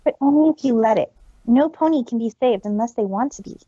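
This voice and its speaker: A woman plays a proud, reformed female pony magician from a cartoon fan story. The voice is high and soft-edged with a husky grain, theatrical and earnest. The audio is slightly dull and close. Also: fairly quick, dry recording. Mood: earnest, reflective. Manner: theatrical, speaks of self in third person. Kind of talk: dramatic fan fiction reading.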